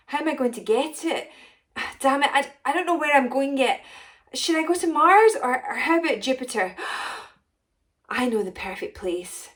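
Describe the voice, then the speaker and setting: A woman's voice: high voice, expressive, measured pace, a bit bright, voice pushed up. Woman acting a dramatic, boastful alien potion maker. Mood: panicked. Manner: dramatic, exclamatory, self-important. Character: short, boastful, rich female alien potion maker, excitable. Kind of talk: first-person children's story narration.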